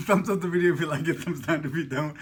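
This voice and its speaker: A man's voice: a little high, quick pace, a bit bright. Playful man chatting, with noisy background. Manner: playful, jokey, slangy. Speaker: mukbang vlog host. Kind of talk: casual chat while eating on camera.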